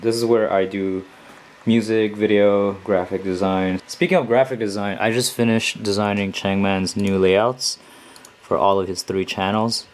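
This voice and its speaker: A man's voice: fairly low pitch, steady tone, moderate pace. Laid-back young man vlogging at home. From Canada. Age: 20s. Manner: laid-back, slangy, talks straight to viewers. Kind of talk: casual vlog chat to camera. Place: small home room, indoors.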